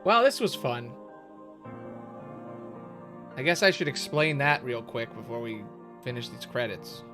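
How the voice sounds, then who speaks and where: A man giving casual, slangy live commentary as a streamer, thinking out loud. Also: medium pitch, very animated, measured pace. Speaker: streamer reacting to a game story. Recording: music playing behind the voice.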